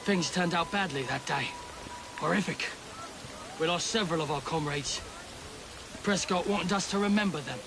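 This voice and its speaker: A man's voice: a little high, normal speed, long pauses, background noise, natural voice. A man acting as a loyal, plain-spoken Victorian army veteran giving witness in a video game, in short earnest statements. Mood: sombre, respectful.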